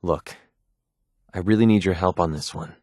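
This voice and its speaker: Guarded, plain-spoken mercenary, close and dry. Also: male voice, low voice, normal speed, darkish tone, dry recording. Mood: earnest, troubled. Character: guarded, wounded young male mercenary, sarcastic, quick-tempered but loyal. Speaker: companion character in a video game. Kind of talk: naturalistic video game voice acting.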